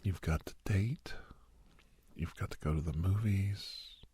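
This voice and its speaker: A man playing a doctor who counsels a young person in an ASMR roleplay, mostly whispering in a slow, breathy, reassuring but firm manner. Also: low-pitched, dark tone, full character voice. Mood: gentle, advising. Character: male doctor gently counselling a young werewolf for college.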